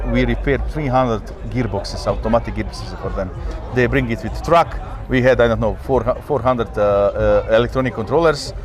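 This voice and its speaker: A man with a mid-range voice explains engineering work at an outdoor event. He talks earnestly in short fragments. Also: medium pitch. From Croatia. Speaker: engineer, repair company guest. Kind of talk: casual interview answers.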